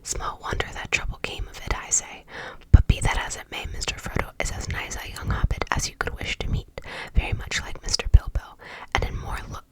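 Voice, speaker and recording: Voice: a little fast, close to an everyday voice, low-quality recording. A reader whispering the part of a gossipy, self-assured old male hobbit gardener, rambling folksy and opinionated. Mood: gossiping.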